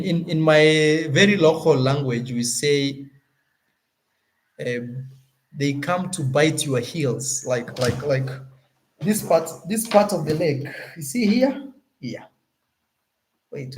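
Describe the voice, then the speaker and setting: A young Kenyan man with a Kenyan accent, talking casually to his viewers as a YouTuber, animated and often searching for words. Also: a little high, low-quality recording. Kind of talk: casual vlog talk to camera.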